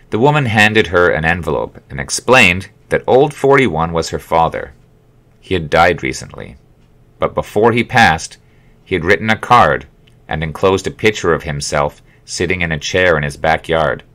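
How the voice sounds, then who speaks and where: Low-voiced man reading his memoir. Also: fairly bright tone. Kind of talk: audiobook narration, scripted storytelling.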